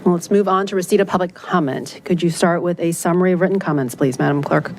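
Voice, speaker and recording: A woman presides over a council meeting, calling agenda items in a brisk, formal way. Her voice sits a bit low. A clear rumble runs behind her. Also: darkish tone. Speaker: mayor chairing the meeting. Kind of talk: chairing a meeting.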